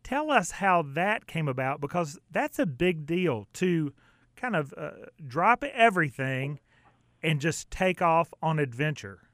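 A man works as a radio host in an interview setting. His voice sits a little high for a man, and the sound is dry and close. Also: big pitch swings, measured pace, fairly bright tone, dry recording.

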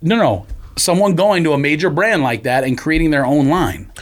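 A man's voice: a little high, moderate pace. A man co-hosting a podcast in casual debate, contrarian and insistent, challenging the others' claims. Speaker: podcast co-host. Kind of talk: casual sneaker-culture debate.

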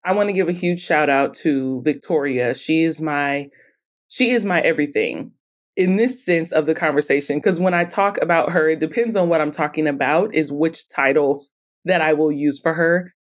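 A woman's voice: deep voice, normal speed. A woman speaking conversationally as a guest in a podcast interview, explaining her work. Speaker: podcast guest, author and editor-in-chief.

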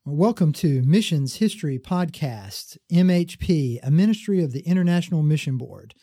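Dark, mellow-voiced male podcast host. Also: a little high, lively intonation, normal speed, no echo, studio quality. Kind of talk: interview hosting.

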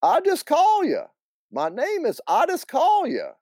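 A man's voice: very high-pitched, wildly swooping pitch, measured pace, a little mellow, dry recording, studio quality, pitched above the performer's own voice, heavily acted. A man voicing an easygoing, flirty country fellow with a thick North Carolina accent, in a told story. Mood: insistent.

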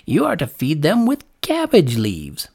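Storybook lark , played by a woman: cocky male bird, exultant and emphatic, raised animated pitch. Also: a little slow, gravelly, husky, exaggerated voice. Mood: triumphant, teasing. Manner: singsong, emphatic, proclaiming. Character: exultant, saucy male lark, confident and scolding. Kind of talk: storybook character voice.

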